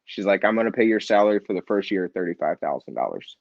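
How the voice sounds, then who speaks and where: A man with a low-pitched voice tells his story in an interview. He is earnest and animated and acts out the people he quotes. The sound is dry and slightly dull, with no room around it. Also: quick pace, creaky, no echo. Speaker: nonprofit founder and CEO.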